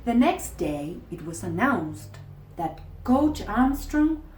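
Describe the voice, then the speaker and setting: A woman narrates a children's storybook in a roomy, echoing space. Her delivery is slow and deliberate, with animated, expressive pitch swings. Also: a little hiss or hum. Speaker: storybook reader. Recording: echoey room.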